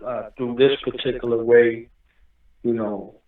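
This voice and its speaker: A man's voice: mid-range voice, flat delivery, measured pace. A man explaining his views at length, and he keeps checking in with "you understand what I'm saying." His voice arrives thin and phone-like, far from the mic in a very echoey space. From Newark, New Jersey. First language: Arabic. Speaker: guest. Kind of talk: casual interview talk. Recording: echoey, distant phone-line audio.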